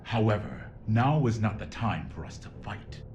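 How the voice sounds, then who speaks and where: Low, solemn warrior. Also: male voice, sing-song, moderate pace, a little mellow, highs cut off, a little hiss or hum. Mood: solemn, cold. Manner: stiff, formal phrasing. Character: solemn, formal male warrior opponent, enigmatic and controlled. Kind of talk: anime game cutscene acting.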